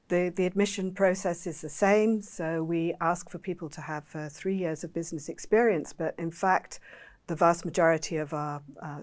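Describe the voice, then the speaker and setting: A woman's voice: deep voice, darkish tone. A woman representing a business school program, answering questions emphatically with parallel lists. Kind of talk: interview answers.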